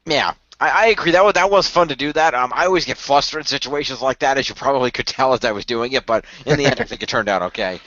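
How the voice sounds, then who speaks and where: A man talks fast and casually, often stopping to restart his sentences. His voice sits in a middle pitch. The sound is dry and dull, like a muffled online call. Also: a bit bright, no room sound. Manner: rambling, self-correcting, quick talker. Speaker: radio play cast member. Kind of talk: casual group discussion.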